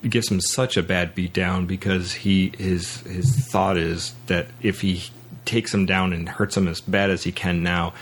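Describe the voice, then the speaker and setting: A man hosting a podcast, talking through a film in a casual, rambling, halting way. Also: low voice, little pitch movement, a little hiss or hum. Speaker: podcast host.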